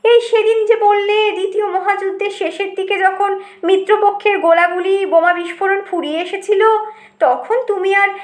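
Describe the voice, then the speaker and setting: A woman's voice: very high-pitched, fairly even intonation, moderate pace, echoey room, pitched above the performer's own voice. A woman voicing a cheeky, curious youngster in a comic audio story, teasing and questioning. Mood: doubting, curious. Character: cheeky, curious youngster needling an old uncle.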